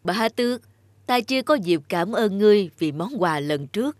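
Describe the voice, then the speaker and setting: A woman's voice: medium pitch, expressive, moderate pace, darkish tone, no room sound, natural voice. A woman voicing a genial, pompous king with a regal manner in a fairy-tale cartoon. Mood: genial, commanding. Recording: music behind.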